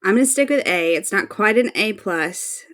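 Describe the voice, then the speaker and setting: A woman's voice: medium pitch, lively intonation, moderate pace, a bit bright, dry recording, studio quality. A woman co-hosting a podcast, talking about a favorite show in a casual, enthusiastic way. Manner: informal, enthusiastic. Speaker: podcast co-host. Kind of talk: casual fan discussion of an audio drama.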